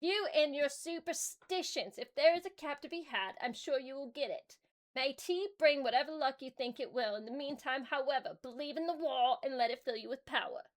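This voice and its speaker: A woman voicing a loud, bossy male orc warboss in a put-on British accent, barking orders. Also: normal speed, close to an everyday voice. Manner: booming, blustering commands.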